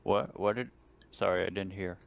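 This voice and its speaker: A man's voice: low voice, steady tone, moderate pace, creaky. A man playing a tabletop roleplaying game, chatting casually. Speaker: player.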